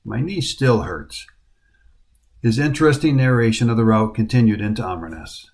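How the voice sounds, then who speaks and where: A man's voice: medium pitch, expressive, normal speed, a little mellow. American man narrating a hiking trip. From United States. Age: middle-aged. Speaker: hiking vlogger. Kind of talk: scripted travel narration.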